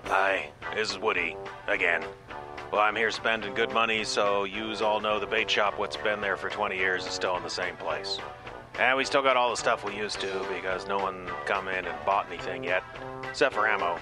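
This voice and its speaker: A grumbling small-town bait shop owner, played by a man, speaks in a folksy, resigned deadpan. His voice sits on the low side, over prominent music in a comic radio spot. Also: normal speed, lightly acted, low-quality recording. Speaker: advertiser in a comic radio commercial. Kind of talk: comedic radio commercial acting.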